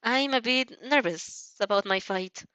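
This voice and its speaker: A woman's voice: a little high, unhurried, pushed and bright, smooth, no echo. A woman voicing a sweet, nervous teenage girl classmate in an audio fan-fiction drama, bubbly and affectionate.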